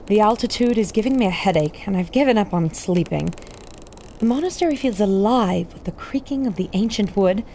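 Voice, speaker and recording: A woman's voice: medium pitch, dark tone, a little hiss or hum, lightly acted. A woman playing a spirited, caring radio reporter on an expedition, confiding dramatically to listeners in a pulp adventure serial.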